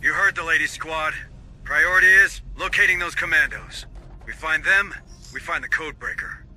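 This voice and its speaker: An actor playing a steady, matter-of-fact male marine sergeant giving his squad orders in a video game. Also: a little high, normal speed, low-quality recording. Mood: commanding. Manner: commanding, dry and matter-of-fact. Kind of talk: video game cutscene dialogue.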